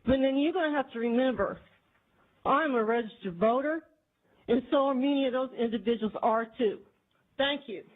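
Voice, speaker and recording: A woman's voice: sits high, moderate pace. A woman in her forties from Houston with a Texan accent, testifying with indignation at a committee hearing. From Houston, Texas. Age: 40s. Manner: impassioned, indignant, repetitive. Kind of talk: public testimony. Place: legislative committee hearing room. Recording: tinny, phone-like archive audio.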